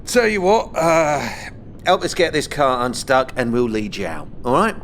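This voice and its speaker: A man's voice: on the high side, big pitch swings, normal speed, vocal fry, fairly bright tone, slight background noise, exaggerated voice. A man playing a shifty, treacherous corpse collector in a fantasy audio drama, with a sly, mockingly friendly drawl.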